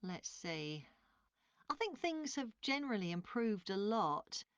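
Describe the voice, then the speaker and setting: A woman's voice: mid-range voice, sing-song, measured pace, clean tone, no room sound. A woman playing a polite train passenger answering a survey in a scripted dialogue. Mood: mildly pleased. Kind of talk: scripted listening-test dialogue.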